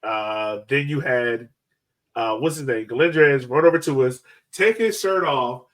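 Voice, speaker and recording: A man co-hosting a soccer podcast, telling match stories casually in an excitable, animated way. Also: mid-range voice, measured pace. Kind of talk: casual sports chat.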